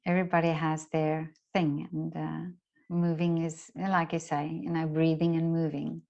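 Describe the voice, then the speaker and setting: A middle-aged woman with a fairly low voice chats as an interview host. She is effusive and conversational. The recording is slightly dull and muffled. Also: level tone, unhurried, gravelly, a little mellow. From lives in Vienna, Austria. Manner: chatty, effusive, frequent agreeing interjections.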